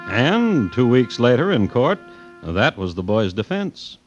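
An actor playing a wry, folksy storyteller who narrates a radio tale in deliberate, suspenseful phrases. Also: male voice, medium pitch, sing-song, lo-fi sound, over loud music. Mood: wry, grave.

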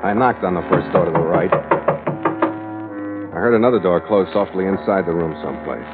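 An actor playing a tough, wry American ship's skipper in terse hard-boiled style for an old-time radio drama. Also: male voice, low-pitched, normal speed, natural voice. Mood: tense narration. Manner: terse, wry, matter-of-fact. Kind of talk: 1940s-50s hard-boiled radio narration and acting. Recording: tinny vintage radio recording, loud music.

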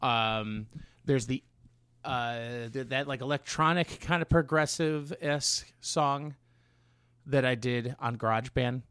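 A man speaking as a podcast co-host in casual chat, explaining things in a halting, rambling way. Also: mid-range voice, a bit bright, clean tone, no echo. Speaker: podcast co-host and songwriting musician.

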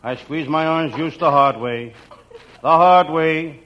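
A man playing a wisecracking, down-on-his-luck private detective in a 1940s radio comedy, with deadpan, slangy delivery. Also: fairly high pitch, measured pace, lightly acted. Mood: deadpan, wry. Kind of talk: 1940s radio comedy acting, scripted first-person narration. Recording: muffled, very noisy old radio recording.

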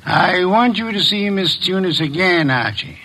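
A fussy formal detective, old radio show, bright tone. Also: male voice, high-pitched, lively intonation, unhurried, gravelly, heavily acted. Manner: precise, formal and commanding. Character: shrewd, formal male detective. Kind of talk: 1940s radio acting.

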